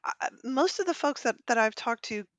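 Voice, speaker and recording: A woman's voice: fairly high pitch, expressive, fairly quick, a bit bright, no room sound. A woman hosting a casual interview, talking informally with conversational asides. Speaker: podcast interviewer.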